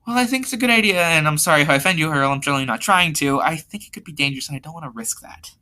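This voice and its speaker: A story reader voicing a polite, cautious schoolboy who is earnest and gently anxious. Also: mid-range voice, racing, close to an everyday voice. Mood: worried.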